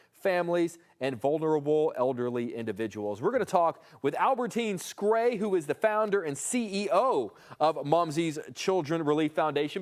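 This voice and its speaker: Lively higher-pitched American male host. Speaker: TV news host. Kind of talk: live morning news banter and interview.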